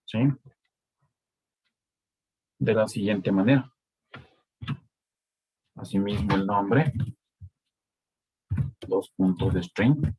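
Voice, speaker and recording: Slow-paced Ecuadorian Spanish lecturer. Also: male voice, lowish voice, a little mellow, breathy edge, low-quality recording. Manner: slow, checks in with frequent "¿sí?" and "¿de acuerdo?". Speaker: university lecturer. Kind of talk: live online coding lesson.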